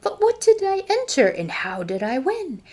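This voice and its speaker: A woman's voice: high voice, wildly swooping pitch, moderate pace, a little mellow, pitched above the performer's own voice. A woman voicing a small, timid, bewildered child in a children's storybook. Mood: surprised, puzzled.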